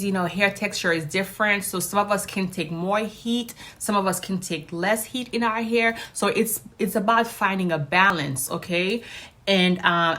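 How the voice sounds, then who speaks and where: Female vlog host, native Haitian Creole speaker, mid-pitched voice, upbeat and informal, addressing her audience directly. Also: fairly bright tone. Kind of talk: casual chat to viewers.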